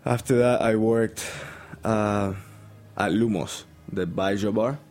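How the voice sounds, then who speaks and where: A man's voice: on the low side, steady tone, slow, a little mellow, faint music behind. A young man from Colombia with a Colombian Spanish accent, a bartender guest chatting hesitantly with many ums. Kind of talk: casual interview chat.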